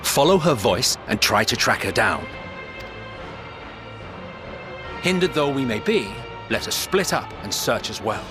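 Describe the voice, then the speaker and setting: A man's voice: medium pitch, big pitch swings, normal speed, music in the background, natural voice. A man playing an authoritative council official in a video game, formal, composed and commanding. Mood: resolute. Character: stern, authoritative male official of a ruling council. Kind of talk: dramatic video game acting.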